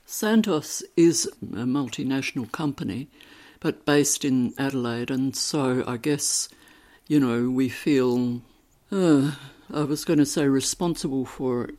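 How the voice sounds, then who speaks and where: A woman answering interview questions in a measured, articulate, dryly critical way. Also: very deep voice, mellow. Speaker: activist interviewee.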